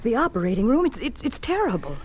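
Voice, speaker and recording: An actress playing an anxious, horrified nurse in an old radio drama, urgent and faltering. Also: female voice, a little high, very animated, fast, darkish tone. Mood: distressed. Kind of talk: 1950s radio drama acting. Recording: noisy old 1950s radio recording.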